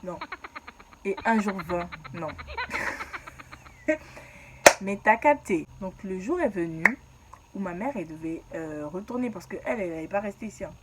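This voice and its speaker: A woman's voice: mid-range voice, expressive, moderate pace, pauses often, noisy background. A young woman from Ivory Coast telling her own story in a casual, slangy way and laughing at herself.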